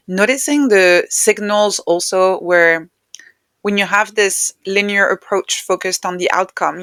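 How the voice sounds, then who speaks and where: Low-pitched woman, dry close sound. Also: fairly bright tone, clean tone, no echo. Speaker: interview guest, neuroscientist and author. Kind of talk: reflective conversational explanation.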